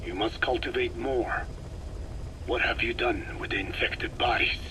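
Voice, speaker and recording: A man's voice: mid-range voice, natural voice. Code Talker: a man voicing a whispering ancient sage. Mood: grave. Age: older. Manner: slow, hushed, whispery, formal. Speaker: scientist giving instructions. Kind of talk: video game cassette tape dialogue. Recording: cassette tape with audible hiss.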